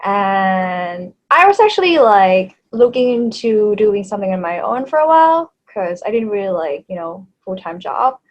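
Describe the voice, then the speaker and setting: A woman in her thirties from Thailand, a native Thai speaker, talking as an interview guest in a hesitant way, with many fillers and soft laughs. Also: mid-range voice, expressive, moderate pace, hardly any pauses, muffled recording, a little hiss or hum. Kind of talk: casual interview chat.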